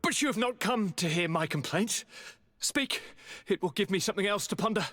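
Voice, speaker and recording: An actor playing a sick young nobleman governor in a video game, formal, theatrical and pleading, with a breathy, suffering delivery. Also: high voice, expressive, brisk, a bit bright. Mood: weary, animated. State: suffering, weakened by sickness. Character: ailing young nobleman governor, courteous, anguished, devoted. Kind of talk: dramatic video game acting. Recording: long hall-like reverb.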